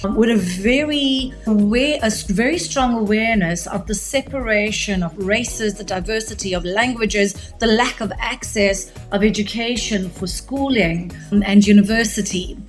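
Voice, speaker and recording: A middle-aged woman speaks as a podcast guest and recounts her history at length in an articulate, explanatory way. Her voice sits at an ordinary mid pitch for a woman. Soft music plays faintly underneath. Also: moderate pace. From South Africa. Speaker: guest, media tech executive. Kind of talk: podcast interview, long storytelling answer.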